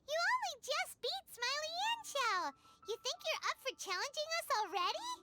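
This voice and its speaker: A woman voicing a tiny, childlike, cheeky female fairy companion in an anime game, squeaky and excitable. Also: moderate pace. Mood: excited. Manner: squeaky, exclamatory, speaks of herself in third person.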